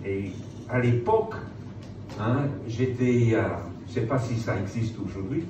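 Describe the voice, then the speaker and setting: A middle-aged man preaching to a live audience, telling stories in an animated, theatrical way. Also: medium pitch, a little slow. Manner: animated, theatrical retelling with acted-out dialogue. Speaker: preacher, former pastor. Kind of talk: storytelling sermon to a live audience. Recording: very echoey room, distant mic, clear noise.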